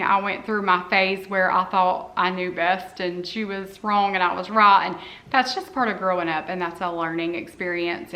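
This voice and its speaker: A woman in her forties speaks to her camera in a loose, heartfelt ramble, often pausing on an "um." Her voice sits at an ordinary middle pitch but has a bright, forceful edge. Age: 40s. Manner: earnest and heartfelt, rambling with frequent "um"s. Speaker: cleaning vlogger. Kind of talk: casual talk to camera.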